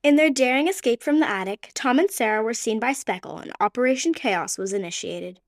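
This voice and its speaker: A woman's voice: on the high side, lively intonation, a little fast, no room sound, studio quality. A woman reading scripted narration for an adventure radio play.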